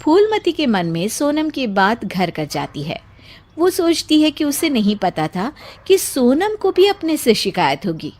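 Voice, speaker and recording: A woman's voice: high voice, sing-song, measured pace, darkish tone, a little hiss or hum. A woman narrating a scripted children's story as its storyteller.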